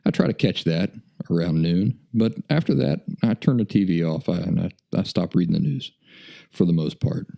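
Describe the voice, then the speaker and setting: Deep gravelly American man. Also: animated, normal speed, dark tone. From United States. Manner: folksy, self-deprecating, chuckles often. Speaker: podcast host. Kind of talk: casual storytelling monologue.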